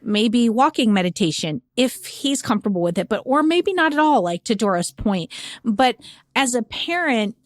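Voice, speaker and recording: A woman's voice: a little high, animated, normal speed, dry recording, studio quality. A woman in her fifties hosting an advice podcast, chatting casually with lots of fillers and a self-deprecating manner. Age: 50s. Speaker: podcast host.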